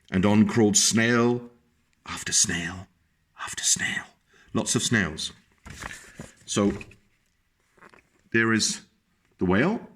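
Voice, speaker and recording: A man telling a story to young children, his voice lively and rising and falling over a wide range. He sits at an everyday middle pitch, pausing often to point things out and explain to small listeners. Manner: animated, pauses to explain pictures to small listeners. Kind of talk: reading a picture book aloud to children.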